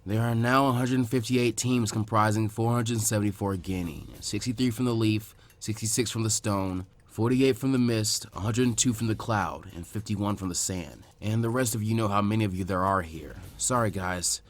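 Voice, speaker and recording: Man playing low, laid-back ninja proctor. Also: lightly acted. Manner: calm, unhurried, low-key.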